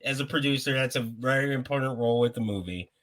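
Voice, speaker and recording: A man's voice: mid-range voice, fast talker, a bit bright. A man hosting a casual podcast, often laughing as he reads out a movie bracket. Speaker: podcast host.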